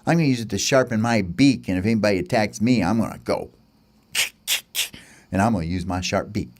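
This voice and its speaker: A man's voice: fairly low pitch, a little fast, darkish tone, lightly acted. A man voicing Smalls, a stubborn, boastful little pet bird in a children's story. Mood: cocky. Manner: cocky, stubborn.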